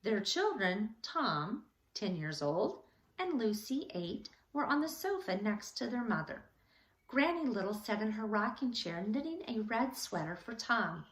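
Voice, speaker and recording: A woman teacher reading a children's story aloud in an animated, deliberate storytelling manner. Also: medium pitch, roomy sound, poor audio.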